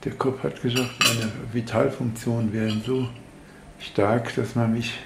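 Gravelly, halting man in a roomy space. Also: medium pitch, level tone, very noisy. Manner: halting, repeating himself. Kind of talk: personal recollection in spoken talk. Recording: roomy sound, music and clattering dishes behind.

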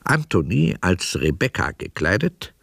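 A man narrates a radio drama from a script. His voice is low and creaky, with lively intonation, recorded close and dry. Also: unhurried, no room sound.

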